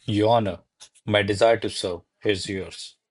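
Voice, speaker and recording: Guy acting as an anxious lovestruck secretary in an old verse comedy, lowish voice, kinda theatrical musing. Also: steady tone, a little slow, slightly rough. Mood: deferential. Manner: theatrical, declamatory, musing in soliloquy. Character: lovestruck, anxious male secretary serving a countess. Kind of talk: verse stage comedy, recited as radio play.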